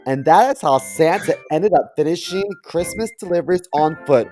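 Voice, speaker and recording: A man's voice: fairly high pitch, animated, moderate pace, dark tone. A man co-hosting a comedy podcast, casually bantering and reading a comic story aloud. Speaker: podcast co-host. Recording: music behind the voice.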